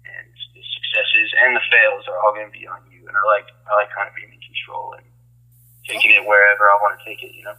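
A man with a low, very bright and forceful voice speaks over a tinny phone line. He chats informally as an interviewed music producer, thinking aloud in loose, slangy phrases. His intonation stays fairly even. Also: fast talker. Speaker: recording engineer and music producer being interviewed. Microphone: phone call.

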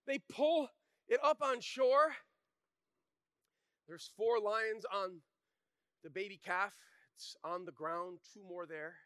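High, bright-voiced male preacher. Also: takes it slowly, pauses often, smooth, no room sound, studio quality. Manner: animated and shouting in the story, then quiet and earnest. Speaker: pastor. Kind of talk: storytelling sermon. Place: church.

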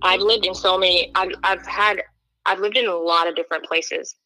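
A woman's voice: lowish voice, normal speed, no echo, poor audio. A young woman from Kenya as an interview guest, speaking in an earnest, emphatic way. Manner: earnest, emphatic, animated when quoting others. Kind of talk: candid interview talk.

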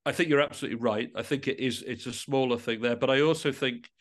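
A man speaking as an interview guest, a musician reflecting tentatively and often pausing mid-thought to rephrase. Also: medium pitch.

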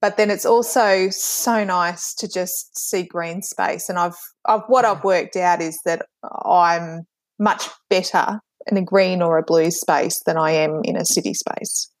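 A woman's voice: lowish voice, fairly even intonation, measured pace. An Australian woman from northern New South Wales, a writer, chatting as an interview guest in a chatty, self-deprecating way. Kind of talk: casual interview chat.

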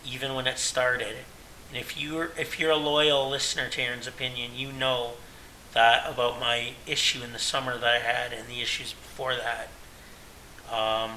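A man's voice: medium pitch, pushed and bright, a little hiss or hum, rough recording. A Canadian man in his late thirties, talking earnestly and at length in a rambling, self-correcting personal monologue as a podcast host. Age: 30s.

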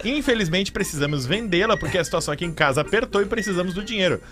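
A man's voice: sits high, expressive, rapid-fire. A radio co-host, a man with a southern Brazilian accent, reading listener messages aloud with casual asides. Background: music bed.

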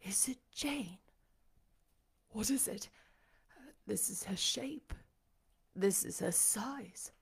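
A woman voicing a desperate, passionate blind gentleman in an audiobook, with a dramatic, demanding delivery. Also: mid-range voice, takes it slowly, gravelly. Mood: amazed, overcome. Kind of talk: audiobook character acting.

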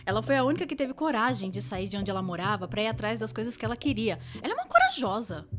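Blunt, opinionated Brazilian woman, fast and fairly high, slangy audio drama delivery over music. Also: big pitch swings, a crowd in the background. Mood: defensive. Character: blunt, loyal, no-nonsense inner voice of a woman. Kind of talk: conversational audio drama acting.

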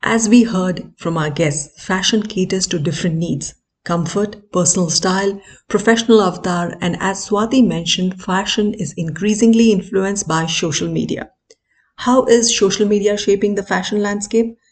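A woman host presenting a scripted segment, introducing guests in a clear, measured delivery. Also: medium pitch. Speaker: podcast host. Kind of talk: scripted narration.

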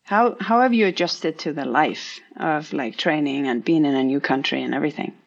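A woman's voice: deep voice, moderate pace, gravelly. A woman hosting an interview, asking long, eager, rambling questions. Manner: enthusiastic, rambling questions with frequent laughs. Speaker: interviewer, podcast host. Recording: dull lo-fi audio.

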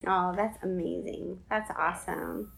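A woman's voice: lowish voice, fairly even intonation, unhurried, gravelly, fairly bright tone, a little hiss or hum, poor audio. A woman hosting an interview show in a conversational style, with an encouraging, affirming manner. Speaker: podcast host interviewer. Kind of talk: warm-up intro and conversational interviewing.

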